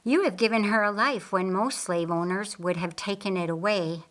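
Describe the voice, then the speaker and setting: A woman's voice: medium pitch, moderate pace, close to an everyday voice. Woman putting on an eager, chirpy young woman. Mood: admiring. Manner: chirpy, emphatic.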